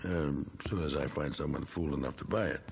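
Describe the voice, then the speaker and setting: An actor playing a blunt, gruff middle-aged man who is clearing out his cluttered attic, in a TV drama. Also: extremely low pitch, brisk, heavily acted. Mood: pushy. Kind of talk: 1980s TV drama acting.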